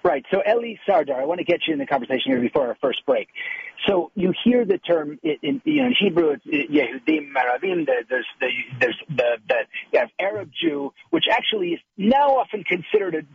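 A man's voice: sits high, wildly swooping pitch, fairly quick, gravelly, slightly rough, no echo. A male talk show host who frames long questions for a guest and often restarts his sentences. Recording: tinny phone-line sound.

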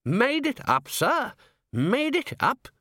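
High-voiced, fussy little old herald. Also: male voice, wildly swooping pitch, slow, pushed and bright, no room sound, clean studio sound, exaggerated voice. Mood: indignant, fussy. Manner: fussy, eager, scholarly, polite.

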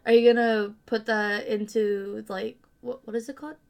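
A woman's voice: a little high, level tone, normal speed, vocal fry, a little mellow. A woman hosting a casual podcast chat, asking curious questions in a chatty way. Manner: chatty, curious, many likes. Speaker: podcast host.

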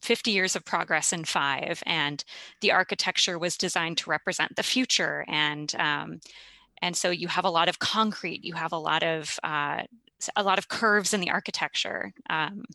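A woman from Minnesota with a lowish, bright, crisp voice. She is a classical singer and speaks thoughtfully and articulately, pausing on ums. The sound is close and dry. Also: no echo. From Minnesota, USA. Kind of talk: casual conversation.

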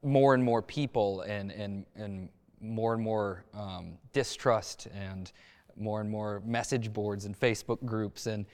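A man speaking as a journalist on a discussion panel, thoughtful and halting as he searches for words. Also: on the low side, fairly even intonation, a little mellow. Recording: echoey hall-like reverb.

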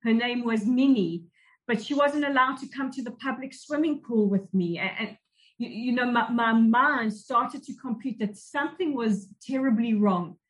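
A woman with a South African accent, telling her life story as an interview guest in a measured, reflective way. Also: a little high, low-quality recording. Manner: measured, reflective, with frequent restarts. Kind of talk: personal recollection in an interview.

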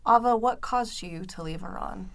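A woman interviewer asking clear, direct questions. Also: mid-range voice, normal speed.

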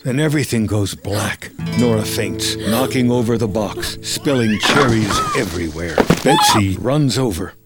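A man narrating a film script in the present tense with measured, descriptive delivery over ambient sound. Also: on the low side, wildly swooping pitch, loud music behind the voice.

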